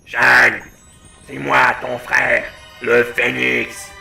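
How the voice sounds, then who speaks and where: A man playing a gruff, stoic older brother warrior in a comic anime parody dub, gravelly, solemn and deadpan. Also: mid-range voice, extremely slow, exaggerated voice. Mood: solemn mockery. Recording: faint music behind the voice.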